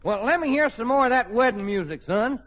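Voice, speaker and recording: A man's voice: extremely high pitch, expressive, a little fast, a bit bright, slight background noise. Folksy, drawling Texan cowboy oil man. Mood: boisterous. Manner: drawling, rustic cowboy talk. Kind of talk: old-time radio sitcom acting. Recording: dull vintage radio recording with studio audience.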